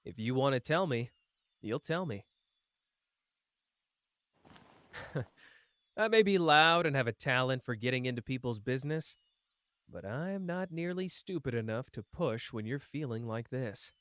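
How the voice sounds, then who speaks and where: A man's voice: medium pitch, expressive, moderate pace, a bit bright, clear, resonant tone, no echo, close to an everyday voice. A man playing a cocky, playful young sorcerer who teases and cares, speaking smugly and intimately to the listener in an ASMR roleplay. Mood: gentle, perceptive. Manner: teasing, smug, drawling, intimate. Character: cocky, playful young male sorcerer, teasing but caring.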